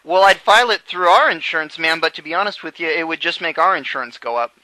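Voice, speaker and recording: A man's voice: fairly high pitch, fast, bright, forceful tone. A man on a phone call speaking as a manager, hesitant and repeating his words. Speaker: prank caller posing as a dealership manager. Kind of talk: phone conversation, improvised prank.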